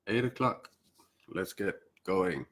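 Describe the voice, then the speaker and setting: A man's voice: low voice, animated, fairly bright tone. A man with a Danish accent speaking English as a second language, hosting a casual spiritual live stream with many hesitant fillers. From Denmark. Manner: hesitant, fills pauses with "uh" and "um".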